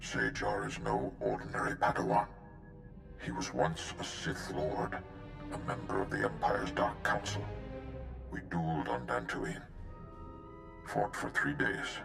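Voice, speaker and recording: A man acting a grave, measured mentor, a Jedi master in a video game, with a deep gravelly voice. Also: very animated, heavily acted. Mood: grave concern. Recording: roomy echo under loud music.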